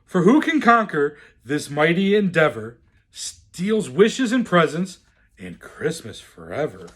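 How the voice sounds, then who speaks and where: A man reading a rhyming children's storybook aloud in a theatrical, deliberate, expressive way. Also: a little high, slightly rough. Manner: theatrical, deliberate, swooping delivery. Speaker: storyteller in the role of Santa.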